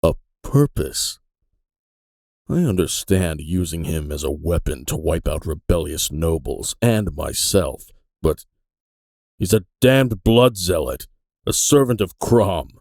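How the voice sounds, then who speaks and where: Grim, hardened fantasy detective, aging male actor, very low gravel, intense and bitter, dry studio sound. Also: lively intonation, slow pace, darkish tone, no echo, full character voice. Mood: indignant. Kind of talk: fantasy audio drama acting.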